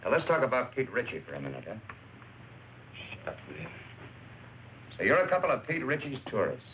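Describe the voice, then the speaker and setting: A man's voice: mid-range voice, huge pitch swings, a little fast. An actor playing a curt, world-weary narcotics investigator in a film noir crime drama, clipped and commanding, hesitating over his questions. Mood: stern, pressing. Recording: muffled narrow-band old recording, faint noise.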